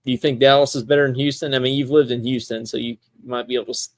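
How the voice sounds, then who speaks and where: A man's voice: mid-range voice, steady tone, rapid-fire, dry recording. Casual man rambling on a sports podcast, dry sound. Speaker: podcast host.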